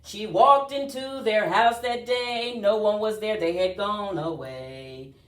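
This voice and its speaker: A woman reading aloud to children as a storyteller, with an expressive, singsong delivery. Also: mid-range voice, slow pace. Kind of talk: read-aloud storytime with rhyming chant. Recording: roomy echo, distant mic, faint music.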